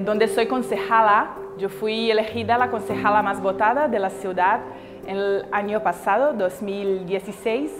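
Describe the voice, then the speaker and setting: A woman in her thirties from Brazil, a native Portuguese speaker with a Brazilian accent, giving earnest, deliberate interview answers as a city councillor. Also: a little high, fairly bright tone. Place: large bright indoor hall. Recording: echoey hall, music behind.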